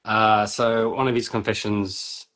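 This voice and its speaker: A man's voice: on the low side, flat delivery, unhurried, fairly bright tone. A man chatting casually and informally in a laid-back way. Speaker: poet and panel participant in a live reading. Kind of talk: casual chat.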